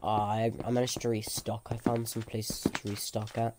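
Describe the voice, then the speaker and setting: A man's voice: on the low side, little pitch movement, normal speed. A young man doing casual improvised role-play in a game, speaking hesitantly and mumbling a little. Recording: very noisy mic with clicks.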